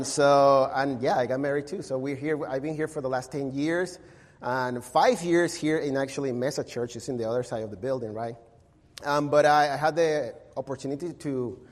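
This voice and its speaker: A young man with a Venezuelan accent preaches a sermon in a church, speaking English as a second language. His manner is friendly and casual, with frequent "um"s and "right?"s. The sanctuary adds a long, lingering reverb. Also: a little high. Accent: Venezuelan Spanish. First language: Spanish. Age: young adult. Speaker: guest preacher.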